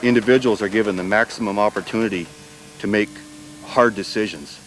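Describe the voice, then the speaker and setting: A man's voice: on the low side, fairly quick, fairly bright tone, a little hiss or hum, rough recording. A man speaking as a military instructor, explaining in an interview.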